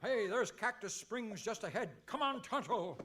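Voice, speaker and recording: Guy doing earnest cowboy hero for radio comedy, high swoopy voice, bit noisy. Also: animated, moderate pace, fairly bright tone. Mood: agitated. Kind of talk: old-time radio comedy acting. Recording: live stage recording with background noise.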